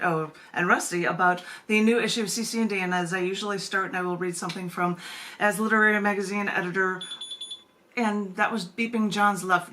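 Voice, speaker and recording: A woman's voice: mid-range voice, pushed and bright, a little hiss or hum. An American woman hosting a poetry open mic over a video call, chatty and given to rambling asides. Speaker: open mic host and poet. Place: at home, indoors. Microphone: video call microphone.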